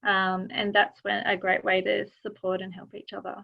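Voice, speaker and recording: A woman hosting a webinar over a video call. She talks in an unhurried way and uses fillers as she moves between segments. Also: mid-range voice, monotone, creaky. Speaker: webinar host. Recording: dull video-call audio.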